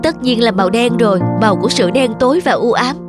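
A woman's voice: on the high side, fast, loud music behind the voice, close to an everyday voice. A woman voicing a polite, eager young man. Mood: earnest. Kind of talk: dubbed cartoon dialogue.

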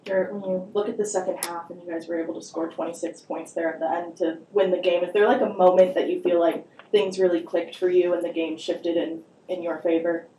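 A woman's voice: fairly low pitch, flat delivery, brisk, few pauses, mellow. Distant female reporter in an echoey room. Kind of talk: press conference questions. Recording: roomy, distant mic, faint noise.

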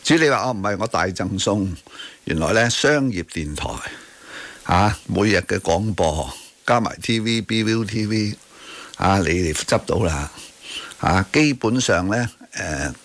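A man's voice: fairly low pitch, lively intonation, unhurried, fairly bright tone. A man with a Hong Kong Cantonese accent hosting radio talk, arguing emphatically about current affairs with rhetorical tag questions and bits of English. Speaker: radio talk show host. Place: radio studio.

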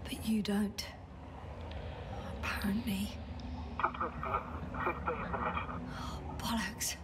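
Slow-spoken, weary stranded space scientist. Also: female voice, mid-range voice, level tone, very noisy. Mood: frustrated, wry. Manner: halting, breathy muttering to herself, dry and sweary. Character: stranded female space scientist, weary, sardonic, frightened but stubborn. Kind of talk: video game dramatic monologue. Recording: distant voice under loud watery ambience.

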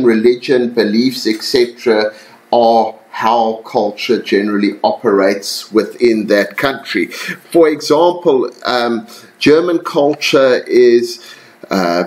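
Male lecturer, mid-pitched voice, casual voice-over for slides, calls students "guys". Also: low-quality recording. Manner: relaxed, addresses students as guys, many ums and self-corrections.

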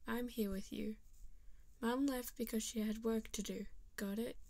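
A woman's voice: a little high, fairly even intonation, a little slow, heavy background noise. A woman voicing a protective, bossy cartoon cup boy who talks like a firm older brother. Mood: firm, reassuring.

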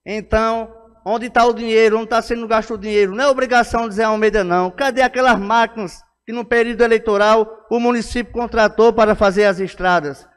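Brazilian guy w northeastern accent giving a council speech, high clear voice, pushy and emphatic. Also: steady tone, fast talker. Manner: emphatic, insistent, oratorical. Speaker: city councillor. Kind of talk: political speech in a plenary session. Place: indoor council chamber at a lectern. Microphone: gooseneck podium microphone. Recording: echoey hall with long reverb.

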